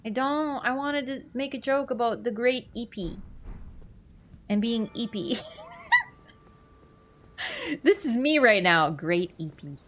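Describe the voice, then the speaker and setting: A woman's voice: fairly high pitch, animated, takes it slowly, pauses often, slight background noise. A woman streamer chatting casually with her chat while she plays a game, laughing easily and answering questions. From Poland. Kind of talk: casual gaming livestream chat.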